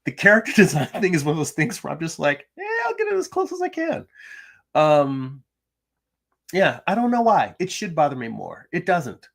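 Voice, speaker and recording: A middle-aged man hosting a drawing livestream from his home, chatting casually with viewers and reading their comments, his voice gravelly from little sleep, with many restarts and fillers. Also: fairly high pitch, wildly swooping pitch, moderate pace, a bit bright. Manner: chatty, self-deprecating, frequent restarts and ums. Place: home room.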